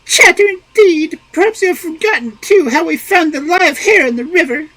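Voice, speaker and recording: Someone doing a bossy old peasant wife, shrill and naggy, voice leaps all over, storybook style. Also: female voice, sing-song, measured pace, fairly bright tone, no echo, heavily acted, rough recording. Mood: scolding. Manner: shrill, nagging, exclamatory. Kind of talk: storybook reading.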